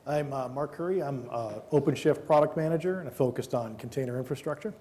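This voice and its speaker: A product manager introducing himself briefly, with a few hesitations. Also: male voice, medium pitch, quick pace, dark tone.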